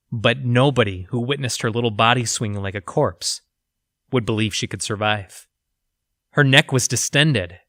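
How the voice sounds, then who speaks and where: An actor playing an educated, haunted research scientist, reading his journal for an audio drama in a formal, measured, clinical manner. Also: mid-range voice, pushed and bright, dry recording, clean studio sound.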